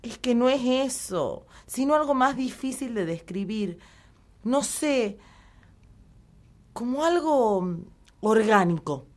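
A woman playing a puzzled, hesitant woman in a deadpan absurd radio comedy sketch. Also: a little high, slow pace, pauses often. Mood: hesitant, searching. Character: puzzled woman fussing over odd-tasting tap water.

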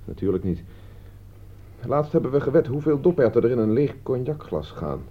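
Husky, defensive young man. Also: mid-range voice, very animated, moderate pace. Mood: explaining, wry. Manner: evasive, defensive. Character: defensive thirty-year-old idle male graduate under questioning. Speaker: actor in a radio play. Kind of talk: Dutch radio drama dialogue. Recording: dull, band-limited old radio recording.

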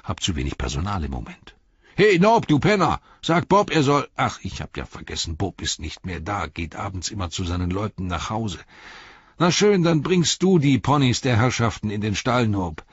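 A man's voice: low-pitched, fairly quick, few pauses, fairly bright tone, slightly rough, dry recording, lo-fi sound, exaggerated voice. A man voicing a hearty, talkative, flustered innkeeper who exclaims, rambles and interrupts himself in an audiobook story. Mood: flustered, bustling.

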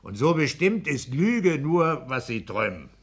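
An actor playing a smug, pedantic clerk and husband in a 1960s German radio play, blunt and domineering. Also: male voice, big pitch swings, a little slow, husky, exaggerated voice. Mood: boisterous, mocking. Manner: exacting, domineering, booming bursts of mockery.

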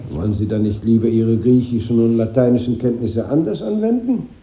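An actor playing a formal, probing, faintly amused schoolmaster in a 1950s German radio drama. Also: male voice, medium pitch, animated, moderate pace, lightly acted. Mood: amused, prodding. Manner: formal, prodding questions. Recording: echoey, dull, noisy old radio recording.

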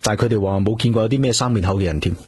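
An actor playing an earnest police detective in a radio drama, speaking Hong Kong Cantonese in a polite, hesitant, natural way. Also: male voice, on the low side, racing, darkish tone. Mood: attentive, gentle. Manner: polite, hesitant, conversational questioning. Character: earnest male police detective, self-reproaching, gentle with companion. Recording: clear background noise with hum.

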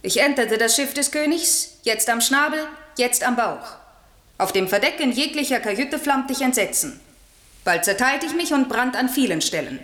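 An airy spirit servant speaks in a wash of echo. The spirit is eager and proud, declaiming verse with vivid theatrical flourish, as in a classical German radio play. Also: female voice, a little high, expressive, moderate pace, a bit bright. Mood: exultant.

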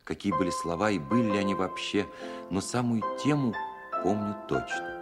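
An actor playing a grown composer who recalls his childhood opera in a radio play, narrating in a wry, expressive way. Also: low voice, moderate pace, close to an everyday voice. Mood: reflective. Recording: 1970s studio record with prominent music.

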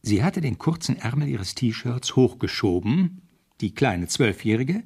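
An actor playing a police officer who narrates a radio crime drama in the first person, with measured, literary storytelling. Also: male voice, mid-range voice, very animated, gravelly, a little mellow, slightly rough, exaggerated voice. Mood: reflective narration. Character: male police officer narrating the case as his memoir.

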